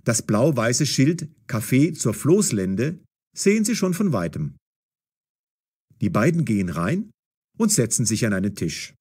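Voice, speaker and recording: A man narrating a scripted story for language learners, articulating clearly and expressively. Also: medium pitch, sing-song, unhurried, a little mellow.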